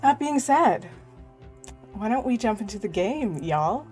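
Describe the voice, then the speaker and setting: A woman's voice: a little high, very animated, a little slow, music in the background. A woman running a tabletop game as game master, casual and laughing often with many ums while she recaps the story.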